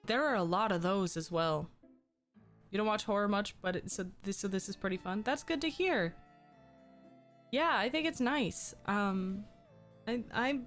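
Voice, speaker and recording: A woman's voice: medium pitch, a bit bright. Chatty young woman streamer, slightly sick. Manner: chatty, reads and answers chat, frequent ums. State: slightly sick, losing her voice. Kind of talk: casual livestream chat.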